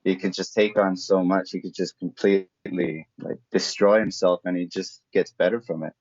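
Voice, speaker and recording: A man's voice: low voice, steady tone, moderate pace, a little mellow. A man from Slovakia, a native Slovak speaker, chatting casually in an interview as a former pro athlete, searching for words as he goes. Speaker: former professional road cyclist turned mountain bike racer, interviewee. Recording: dull lo-fi audio.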